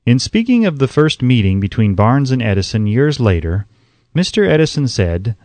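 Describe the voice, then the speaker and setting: A man narrates an audiobook with an animated, widely moving pitch. His phrasing is measured and emphatic, set at a middle pitch. The sound is dry and close, with no room around the voice. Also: dry recording.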